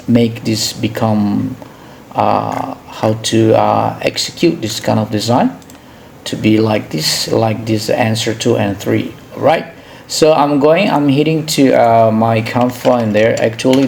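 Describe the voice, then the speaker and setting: A man from Indonesia with an Indonesian accent narrates an informal software tutorial as host, in a hesitant, halting way, often repeating himself. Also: medium pitch, gravelly, a little hiss or hum.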